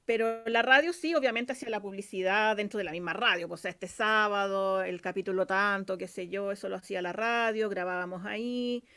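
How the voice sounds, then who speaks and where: A woman's voice: mid-range voice, fairly quick, few pauses, a bit bright. A woman with a Chilean accent speaking as a guest in a casual interview, chatty and rambling with plenty of filler phrases. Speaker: interview guest, radio drama scriptwriter.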